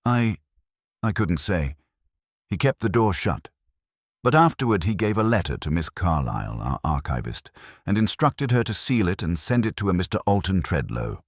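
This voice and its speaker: A man's voice: deep voice, very animated, moderate pace, dry recording, studio quality, voice pushed up. A man voicing a nervous, polite young publishing clerk in an audiobook, hesitant and deferential. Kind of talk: audiobook character dialogue.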